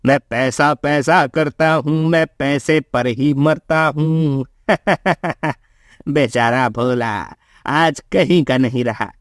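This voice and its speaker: Man acting a slow-talking, scheming village villain. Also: on the high side, no room sound, studio quality. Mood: gloating. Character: scheming, greedy, boastful male village milk seller. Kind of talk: Hindi moral-story cartoon acting.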